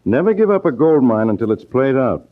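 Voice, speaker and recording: An actor playing a scheming, greedy con man, smooth and calculating, in a 1940s radio drama style. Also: mid-range voice, very animated, fairly quick, lightly acted. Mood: sly, calculating. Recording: muffled, band-limited 1940s radio broadcast.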